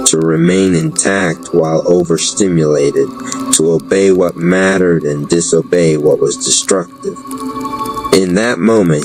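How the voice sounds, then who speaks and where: Deep, gravelly man narrating slowly. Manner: solemn, measured, dramatic phrasing. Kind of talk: scripted spoken-word narration of a dark fantasy audio drama. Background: lofi background music.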